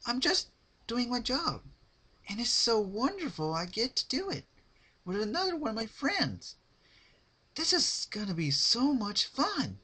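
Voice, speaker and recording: Casting: gleefully sadistic female party pony, performed by a man, very high, loud and sing-song, sugary taunts, cartoon fan fiction. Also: huge pitch swings, unhurried, slightly rough, exaggerated voice, rough recording. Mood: cheerful, gloating. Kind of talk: fan-fiction dramatic reading.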